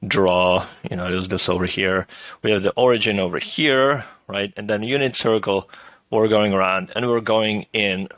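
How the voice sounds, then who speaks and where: A lower-voiced middle-aged man with a touch of hoarseness, teaching university math from home. He talks in a relaxed, meandering way, pausing on fillers and tossing in "right?" as he works through each idea. The sound is dry and close, with no room around it. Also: no room sound. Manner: relaxed, thinking aloud with many "uh" and "right?". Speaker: math professor. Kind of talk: recorded lecture over slides.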